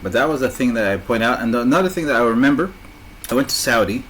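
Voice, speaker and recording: A man's voice: medium pitch, animated, fast talker, fairly bright tone, slight background noise. A young man from South Sudan telling stories in casual chat, hesitant with many fillers.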